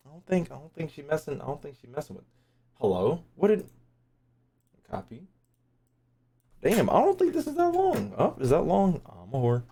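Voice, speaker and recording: A man's voice: on the high side, huge pitch swings, moderate pace, pauses often, mellow. A man doing casual streamer reaction commentary, with slangy, profane and incredulous asides. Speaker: streamer reacting to game lore.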